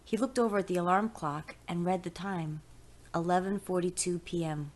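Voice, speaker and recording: Low-pitched woman narrating fiction. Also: normal speed, darkish tone. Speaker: story narrator.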